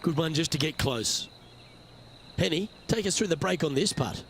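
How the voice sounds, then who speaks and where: Higher-pitched male golf commentator. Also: expressive, normal speed, fairly bright tone, a little hiss or hum. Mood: composed, informative. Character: male television golf commentator, composed and informative. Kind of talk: sports broadcast commentary in a video game.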